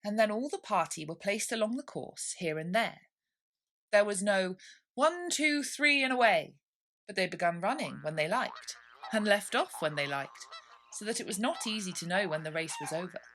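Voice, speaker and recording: A woman reading a classic children's story aloud in an expressive, scripted narration style. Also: fairly low pitch, normal speed. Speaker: storybook reader.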